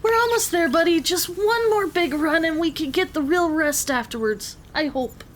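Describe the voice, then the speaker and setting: A woman voicing a small, plucky young gnome adventurer in a fantasy audio story, curious and expressive. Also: very high voice, normal speed, a little hiss or hum. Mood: hopeful, tired.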